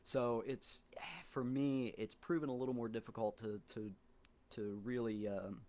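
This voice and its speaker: A man's voice: mid-range voice, level tone, unhurried, darkish tone. A man from Ohio, a writer, speaking as a podcast guest in casual chat, rambling with many ums and restarts. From southern Ohio, US.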